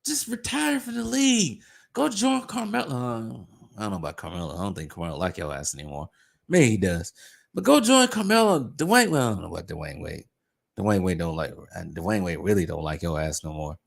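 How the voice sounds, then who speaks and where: A man giving a mocking, scornful basketball rant, his voice going hoarse. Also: low voice, sing-song, fairly quick. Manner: mocking, scornful, pleading in jest. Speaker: sports commentator. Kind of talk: ranting basketball commentary.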